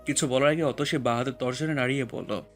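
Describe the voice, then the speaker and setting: A man narrating romantic fiction as a scripted audio story, with expressive, emotional storytelling. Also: medium pitch, fast talker, soft background music.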